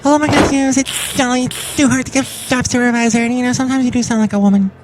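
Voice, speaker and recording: A man voicing a chatty, teasing female gift shop supervisor who is blunt and giggly, heard over loud music. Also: extremely high pitch, flat delivery, fast, heavy background noise, voice pushed up.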